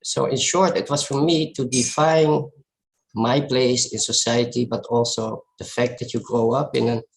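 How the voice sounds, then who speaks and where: Dutch-accented man with a creaky voice. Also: medium pitch, fairly even intonation, a little hiss or hum, low-quality recording. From Netherlands. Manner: halting, reflective, searching for words. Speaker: photographer giving a talk. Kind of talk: informal presentation of personal work.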